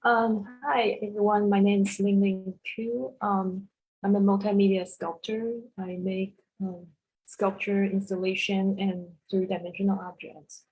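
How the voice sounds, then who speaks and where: A woman's voice: medium pitch, level tone, measured pace, a little mellow, slight background noise, low-quality recording. A woman from Taiwan presenting her sculpture work on a video call, talking hesitantly with many fillers. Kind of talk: casual presentation.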